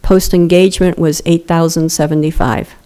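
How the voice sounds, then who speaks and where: Soft-spoken woman with a mellow low voice. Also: steady tone, moderate pace, clean tone. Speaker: grant program presenter. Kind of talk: public meeting presentation. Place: town council chamber. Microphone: podium microphone.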